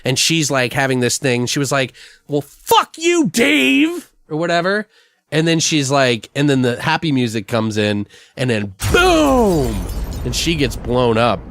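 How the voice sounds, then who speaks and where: A man hosting a podcast, chatting casually and brainstorming in a rambling, animated storytelling way with plenty of swearing. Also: mid-range voice, measured pace, dry recording. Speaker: podcast host. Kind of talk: casual improvised brainstorming chat.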